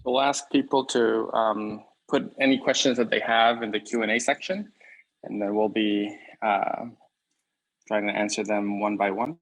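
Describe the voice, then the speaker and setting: A man hosts a webinar question session over a video call, with a lowish voice. He speaks in a flat, even tone and relaxes into fillers. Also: a little slow, rough recording. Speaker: webinar moderator. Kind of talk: hosting a Q&A.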